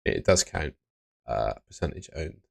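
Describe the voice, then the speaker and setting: Young male streamer, low voice, relaxed and chatty, reading viewer comments. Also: unhurried, vocal fry. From Bristol, England. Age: young adult. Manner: relaxed, chatty, answers chat questions. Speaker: fantasy football streamer. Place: small room indoors.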